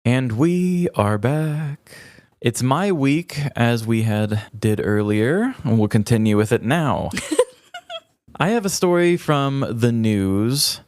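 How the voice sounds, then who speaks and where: A man's voice: medium pitch, expressive, takes it slowly, darkish tone, no room sound. A man co-hosting a casual podcast, chatting and then reading out a wry personal essay in a dry storytelling manner. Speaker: podcast co-host. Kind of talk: casual chat and read-aloud essay.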